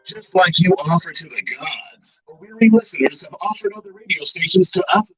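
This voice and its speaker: Man putting on a gravelly, wildly swooping promo announcer. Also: high-pitched, wildly swooping pitch, normal speed, a little hiss or hum, heavily acted. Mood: grandiose. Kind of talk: dramatic radio station promo. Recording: dull lo-fi audio over music.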